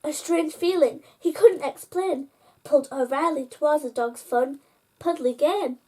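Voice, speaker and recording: A woman's voice: high-pitched, unhurried, mellow. A woman hosting a story time, reading a children's picture book aloud and addressing young listeners directly.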